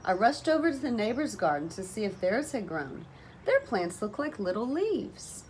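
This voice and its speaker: A woman teacher reading a children's story aloud in an expressive, deliberate manner, with animated phrasing. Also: a little high, poor audio. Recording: noticeable background noise.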